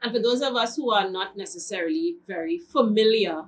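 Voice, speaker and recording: Woman host, mid pitch, slightly distant from the mic, affirming interviewer. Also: fairly quick. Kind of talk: interview chat. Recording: voice a bit off-mic.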